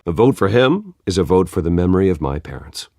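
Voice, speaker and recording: An actor playing a reserved wealthy philanthropist in a video game, speaking in a measured, polite, guarded way. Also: male voice, low-pitched, very animated, darkish tone, no echo, clean studio sound, exaggerated voice. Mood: persuasive. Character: reserved wealthy man, smooth charming philanthropist host.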